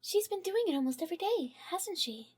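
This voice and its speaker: A woman voices a friendly, sweet schoolgirl from a fan-made visual novel. She is chirpy, with an extremely high voice and big melodic swings in pitch. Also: animated, brisk, a little mellow. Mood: sympathetic.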